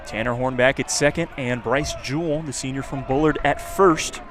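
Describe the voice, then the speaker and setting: A man's voice: medium pitch, moderate pace. Male baseball play-by-play announcer, noisy ballpark. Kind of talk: live baseball radio commentary. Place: outdoors at a ballpark. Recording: clear outdoor stadium noise behind. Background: outdoor ballpark ambience.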